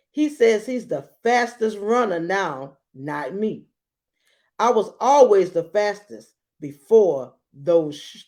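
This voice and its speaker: Female storybook reader, unhurried and highly varied in pitch, expressive emphasis for young listeners. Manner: expressive, dramatic emphasis with deliberate pauses. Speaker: read-aloud host. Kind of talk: children's storybook read-aloud with brief asides.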